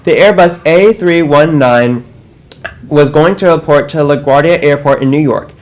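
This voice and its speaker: A man's voice: a little high, normal speed. A teenage boy co-anchoring a school news show, reading announcements steadily with small slips. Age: teens.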